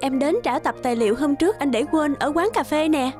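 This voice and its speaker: A tender young woman in a cartoon, played by an actress. Her voice sits high, sincere and sensitive, over quiet background music. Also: fairly quick, natural voice. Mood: soft, sincere. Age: young adult. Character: gentle, sincere, wounded young sweetheart. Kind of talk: cartoon voice acting.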